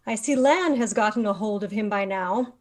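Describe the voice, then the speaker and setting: A woman playing the brisk, imperious leader of a magical order in a fantasy table read. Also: mid-range voice, a little mellow, close to an everyday voice.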